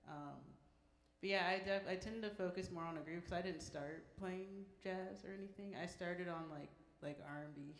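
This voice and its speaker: Woman musician, lowish voice, casual advice, roomy echo. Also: moderate pace. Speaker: musician in a jazz band. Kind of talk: casual unscripted advice to an audience. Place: indoor museum performance space. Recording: echoey room, live event microphone.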